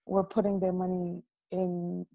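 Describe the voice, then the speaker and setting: A woman from the Dominican Republic talks over a video call from a small dorm room. Her voice is gravelly and mellow, and the sound is dry. She tells her stories in an animated way and repeats words as she goes. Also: on the low side, a little slow, no echo, dull audio. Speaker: guest, business coach. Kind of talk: casual interview storytelling.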